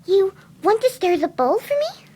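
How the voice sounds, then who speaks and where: A woman voicing a gentle, timid winged pony who dotes on animals, soft, sweet and motherly, in a fan cartoon story reading. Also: very high voice, big pitch swings, moderate pace. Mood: surprised, delighted.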